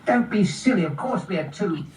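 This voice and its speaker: A woman's voice: fairly low pitch, expressive, moderate pace, a little mellow, pitched above the performer's own voice, lightly acted. A woman voicing squabbling young rabbit siblings in a children's story, argumentative and contrary. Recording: roomy, distant, faintly noisy recording.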